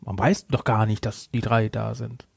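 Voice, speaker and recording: A man's voice: lowish voice, little pitch movement, moderate pace, creaky, a little mellow, slightly rough, dry recording, studio quality. A man co-hosting a podcast, chiming in casually with short remarks. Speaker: podcast co-host. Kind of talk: casual interjections and corrections.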